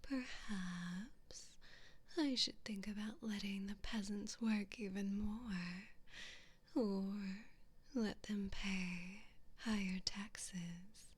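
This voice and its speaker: Woman playing a whispering, slow, haughty evil queen. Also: medium pitch, steady tone. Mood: mocking, threatening. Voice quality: breathy whisper. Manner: slow, imperious, condescending, drawn-out phrasing. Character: haughty, cruel evil queen, disdainful of peasants. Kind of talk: whispered ASMR roleplay.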